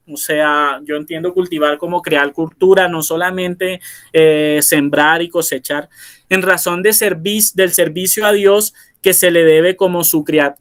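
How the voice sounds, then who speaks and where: A man's voice: sits high, a bit bright. Hesitant coastal man lecturing. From Colombia, Barranquilla. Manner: hesitant, many filler sounds and restarts. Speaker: philosopher giving a presentation. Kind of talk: informal lecture, explaining and reading texts.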